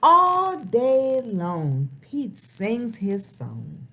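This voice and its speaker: Slow, very animated woman reading to children. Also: on the high side, a little hiss or hum. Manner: expressive, sing-song, with rhetorical questions. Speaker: children's storybook reader. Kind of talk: animated read-aloud for young children.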